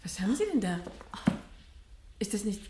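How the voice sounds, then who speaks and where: An actress playing a catty, curious, vain housewife in a radio drama, with an arch, gently mocking delivery. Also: female voice, medium pitch, sing-song, moderate pace, noisy background, lightly acted. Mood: curious, coaxing. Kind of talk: 1970 German radio drama acting. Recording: roomy old radio studio.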